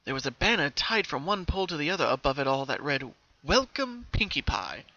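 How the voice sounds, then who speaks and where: A narrator giving an expressive, careful dramatic reading of a story, as in an audiobook recorded at home. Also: mid-range voice, quick pace, lo-fi sound.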